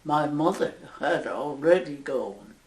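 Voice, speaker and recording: A woman over a hundred years old with a Northern Irish accent, a nun slowly recalling her life in an oral history interview, halting and with soft laughs. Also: sits low, expressive, echoey room, rough recording. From Northern Ireland. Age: over 100.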